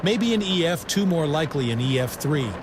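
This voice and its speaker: A man's voice: on the high side, animated, brisk, lightly acted. A man playing a concerned TV meteorologist, explaining live storm coverage in an urgent, conversational way. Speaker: weather broadcast presenter. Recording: noisy amateur recording.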